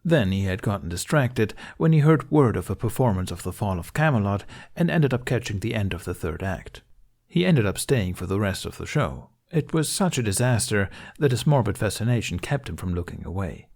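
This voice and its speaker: A man reading scripted fiction aloud as an audiobook narrator, in a measured storytelling manner. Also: lowish voice, sing-song, a little mellow.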